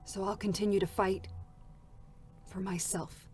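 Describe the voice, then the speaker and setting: An actress playing a bitter, vengeful combat android in an intense, defiant dramatic reading of a game character. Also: female voice, mid-range voice, level tone, unhurried, lightly acted. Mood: grim, wounded. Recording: music behind the voice. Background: music.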